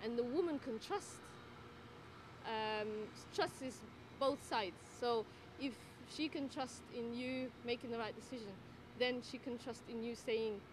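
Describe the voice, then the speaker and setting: A woman from the Netherlands who works as a midwife and tutor, answering interview questions in a thoughtful way with many fillers and pauses. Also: on the high side. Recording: noisy background ambience.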